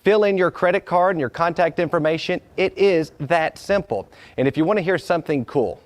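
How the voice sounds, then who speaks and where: A man's voice: fairly high pitch, fairly quick. A man hosting a fundraising event, chatty and salesy, sometimes stumbling over his words. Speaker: fundraising host.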